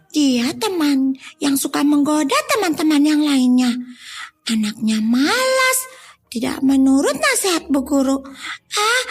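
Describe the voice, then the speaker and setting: Tiny super high little girl voice, polite n pious, kids story, bit of music behind. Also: a bit bright, full character voice. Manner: childlike, polite, confiding in the listeners. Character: pious, cheerful, earnest little schoolgirl.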